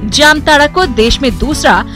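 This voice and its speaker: A woman's voice: high-pitched, expressive, normal speed. A woman narrating scripted news reports as a broadcast voice-over. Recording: news music behind. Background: background music.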